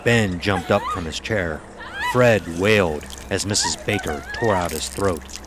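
A man's voice: sits low, huge pitch swings, unhurried, noisy background. A man narrating a scripted horror audio drama as its storyteller.

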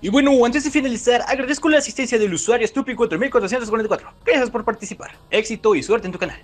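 A man's voice: very high voice, racing, faint music behind. A man hosting a video with casual scripted commentary, chatting directly to his viewers. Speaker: YouTube host.

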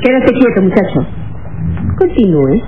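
A woman's voice: medium pitch, big pitch swings, measured pace, slight background noise. An actress playing a cold-blooded female assassin in a radio serial, commanding and menacing. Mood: suspicious. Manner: cool, commanding, menacing, crisp statements. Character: Marilyn, beautiful, cold-blooded female assassin holding captives. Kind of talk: Spanish-language radio serial acting. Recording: tinny old radio serial recording.

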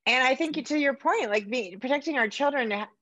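A middle-aged woman hosting a conversational interview over a video call, halting as she searches for her words. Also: high voice, fairly bright tone, dry recording. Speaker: interviewer, podcast host. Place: home room, on a video call. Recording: slightly dull video-call audio.

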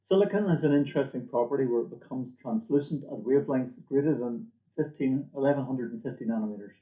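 A man giving a scripted product presentation for a company, in a measured, formal manner, recorded at a desk in a small room. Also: mid-range voice, roomy sound, narrow, tinny audio. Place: small indoor office or lab room.

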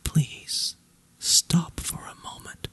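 A storyteller voicing a smitten young prince in a bedtime fairy tale, earnest and pleading, in a breathy whisper. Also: very slow, fairly bright tone.

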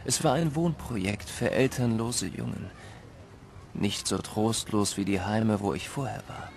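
A man playing a grown orphan who wistfully narrates his boyhood in a German audio drama, measured and reflective. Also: on the low side, vocal fry, darkish tone, slight background noise.

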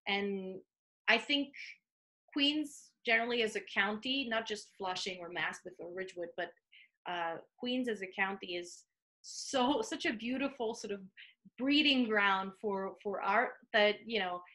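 A woman in her thirties from Serbia with a Serbian accent, speaking haltingly with many fillers and restarts in a video call interview from a small home office. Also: mid-range voice, pushed and bright. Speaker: art commissioner. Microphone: video call webcam.

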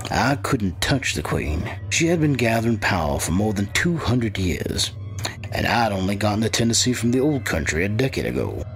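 An actor playing an ancient, menacing undead wight, telling his dark fantasy tale in a brooding, deliberate, theatrical way. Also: on the low side, sing-song, soft background music. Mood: grim, proud. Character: ancient undead body-stealing wight, cold, menacing, patient. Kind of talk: dark fantasy audio drama narration.

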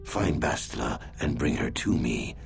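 An actor playing a menacing, imperious Sith lord in a video game, with a gravelly voice. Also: male voice, a bass voice, expressive, a little slow, heavily acted. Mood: cold menace. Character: menacing Sith lord villain, cold commanding master. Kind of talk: scripted video game acting. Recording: music behind the voice.

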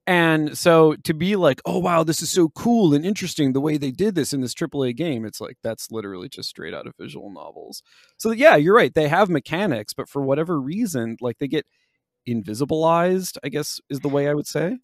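A man's voice: a little high, animated, brisk, no echo, studio quality. A man co-hosting a podcast, explaining things casually and loosely, with plenty of hedging. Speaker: podcast co-host. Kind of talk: casual game discussion.

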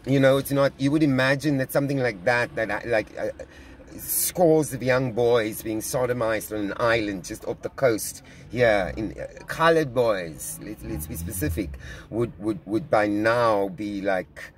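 A man's voice: fairly low pitch, a little hiss or hum. A middle-aged man with a South African accent, recording himself in a car with his phone and talking earnestly about church news, often stopping and repeating words as he thinks. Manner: earnest, halting, many restarts and repeated words. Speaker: vlogger commenting on church news. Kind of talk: casual spoken commentary. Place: inside a parked car. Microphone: phone camera held at arm's length.

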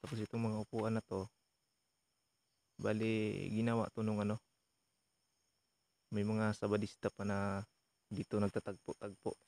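A man's voice: fairly low pitch, creaky, a little mellow. A young man giving casual commentary as he explores outdoors at night for a vlog. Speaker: vlog host, ghost hunter. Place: outdoors at night around an abandoned building. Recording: noisy outdoor recording with insects.

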